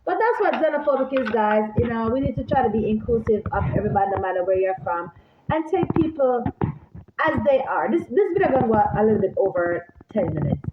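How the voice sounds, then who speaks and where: Jamaican woman vlogger: rambling, animated, high-pitched, recorded in an echoey room. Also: fast, noisy background. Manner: animated, repeats herself, talks straight to viewers. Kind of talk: casual rambling monologue to viewers. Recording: echoey room, phone-like sound.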